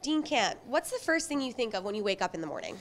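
A woman's voice: a little high, sing-song, fast. A young adult woman interviewer asking prepared questions. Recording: audible ambient building noise.

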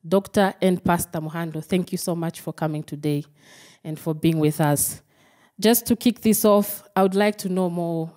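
A woman's voice: fairly low pitch, mellow. A woman hosting a studio interview, speaking formally and courteously. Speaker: interview host.

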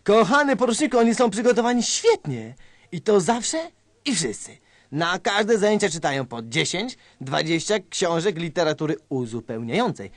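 A man's voice: high-pitched, sing-song, moderate pace, dry recording. A man playing an exasperated, sarcastic police colonel in a satirical sketch, theatrical and emphatic, repeating himself. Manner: theatrical, emphatic, repeating words in mock praise. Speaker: sketch performer. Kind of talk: satirical radio sketch acting.

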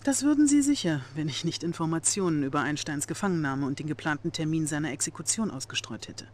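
An actress playing a young, cool and calculating Soviet intelligence officer in a video game cutscene, composed and assertive. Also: female voice, deep voice, lively intonation, quick pace, darkish tone. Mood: cool, confident. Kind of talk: video game cutscene acting, German dub.

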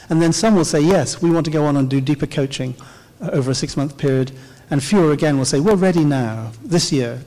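A man's voice: on the high side, a little mellow. Man giving a keynote in a reverberant hall. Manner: measured, explanatory, occasional "uh" pauses. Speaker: keynote speaker. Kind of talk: conference keynote talk. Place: large dark auditorium stage. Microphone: handheld microphone.

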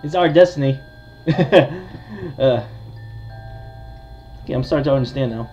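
A man's voice: mid-range voice, measured pace, warm tone. Male gamer, casual, thinks aloud for viewers; mid-range voice, lo-fi sound with game music behind. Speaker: gamer, video host. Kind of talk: casual gameplay commentary of a horror game.

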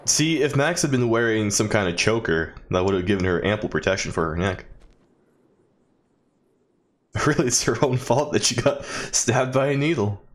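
Low-voiced man, wry gaming commentary. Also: lively intonation, a little fast, few pauses. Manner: wry, joking, rambling. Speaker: gaming video host. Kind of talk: casual let's play commentary.

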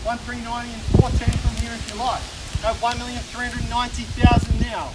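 High-voiced Australian auctioneer, street noise. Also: male voice, flat delivery. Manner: brisk persuasive auction patter, repeating the figures. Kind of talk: live auction calling. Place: outdoors on a suburban street in front of a house. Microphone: camera filming from some distance, no mic seen. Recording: distant voice with loud street and traffic noise.

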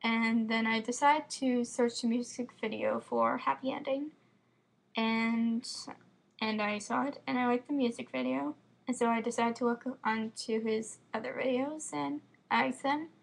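Teen girl, American accent, slight lisp, unhurried delivery, casual home webcam vlog. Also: fairly high pitch, fairly even intonation, vocal fry. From Florida, United States. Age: teens. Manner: quick, chatty, rambling with many fillers. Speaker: fan vlogger. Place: home room with bookshelves, indoors. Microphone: built-in computer webcam mic.

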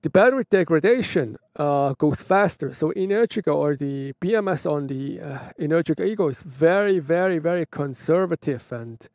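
A man's voice: fairly high pitch, animated, moderate pace, hardly any pauses, a little mellow, dry recording, clean studio sound. Chatty Swiss German man outdoors. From Switzerland. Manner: chatty, restarts phrases, laughs often. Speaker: motovlogger. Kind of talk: casual Q&A vlog. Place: outdoors by a roadside bench.

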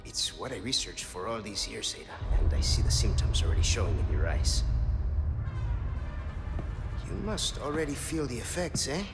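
A man's voice: on the low side, very animated, moderate pace, long pauses, loud music behind the voice. An actor playing an earnest, charming scientist in his thirties with a theatrical, anguished manner in a video game. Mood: earnest, pleading. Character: impassioned charming male scientist, anguished and restless. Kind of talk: video game cutscene acting.

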